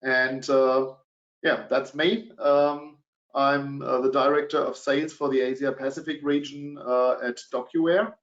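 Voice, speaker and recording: A middle-aged man speaking English with a German accent presents a business webinar, stopping often on filler sounds. His voice sits at an ordinary pitch. The sound is dull and lo-fi, with a roomy echo around it. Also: level tone, a little slow. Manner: hesitant, many fillers.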